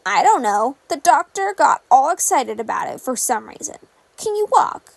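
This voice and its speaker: A woman's voice: high-pitched, very animated, moderate pace, creaky, dry recording, full character voice. A woman voicing a grudging young male villain in a story, with a curt, dismissive drawl. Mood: impatient.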